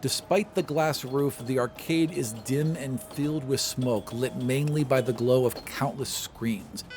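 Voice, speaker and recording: A man's voice: mid-range voice, measured pace, soft background music. A man acting as game master, describing scenes and explaining things in a casual, conversational way. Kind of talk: narrating a tabletop game, conversational.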